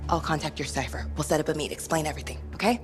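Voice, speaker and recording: An actress playing an eager young noblewoman serving as a soldier in a video game, speaking briskly and earnestly. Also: deep voice, close to an everyday voice. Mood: urgent. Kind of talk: video game dialogue acting. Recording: music behind the voice.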